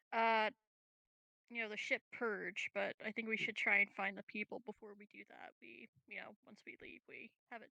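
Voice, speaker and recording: A woman's voice: a little high, gravelly, pushed and bright, dry recording, rough recording. A woman chatting casually as a player in a tabletop game, hesitant and often rethinking mid-sentence. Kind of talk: casual chat.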